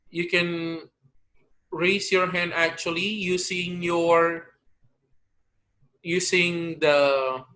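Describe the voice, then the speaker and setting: A man teaching an online English grammar lesson, slowly drilling verb forms pronoun by pronoun over a video call. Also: on the high side, pushed and bright, poor audio.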